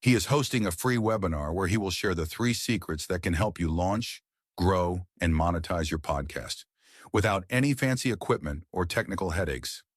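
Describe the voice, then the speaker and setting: Deep-voiced man reading a scripted promo. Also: normal speed, dry recording, studio quality. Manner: smooth, salesy, scripted. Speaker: ad narrator.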